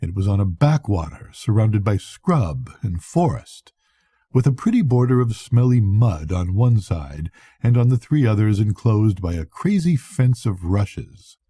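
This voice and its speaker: Older guy, grandpa type reading a bedtime story, really dark mellow husky voice, kinda low, close dry sound. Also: animated, no echo. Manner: measured, reflective first-person narration. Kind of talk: expressive bedtime audiobook reading of a classic novel.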